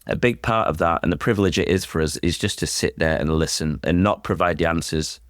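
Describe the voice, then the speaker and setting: A man speaking as a sports chaplain in an interview, explaining things in an earnest, reflective and measured way. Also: low-pitched, no room sound, studio quality.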